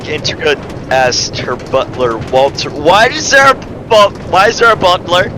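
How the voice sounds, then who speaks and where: A man's voice: high voice, very animated, unhurried. A man giving an amateur dramatic reading of a story, stumbling over the text and breaking off into casual, exasperated asides. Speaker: reader of a fan fiction story. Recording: faint background noise.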